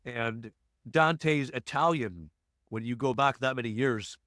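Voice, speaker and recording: An American man hosting a podcast interview over a remote call, chatty and quick with jokey asides. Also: mid-range voice, a bit bright, no echo, clean studio sound. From United States. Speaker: podcast host. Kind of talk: casual interview chat.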